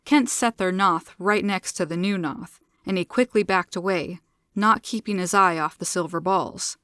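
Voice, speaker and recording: A woman's voice: fairly low pitch, moderate pace, a bit bright, dry recording. A woman narrating scripted sci-fi fiction in a dramatic storytelling style, close to the microphone.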